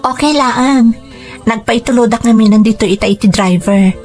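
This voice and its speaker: Woman as devoted young sweetheart, tender, earnest, high voice, radio romance drama, music behind. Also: moderate pace, a little mellow, lightly acted. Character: devoted young woman, factory boss's daughter in love. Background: background music.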